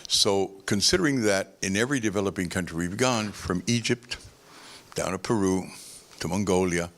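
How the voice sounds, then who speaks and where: A man's voice: deep voice, normal speed, fairly bright tone. A man speaking on a conference panel, discursive and explaining with homely analogies.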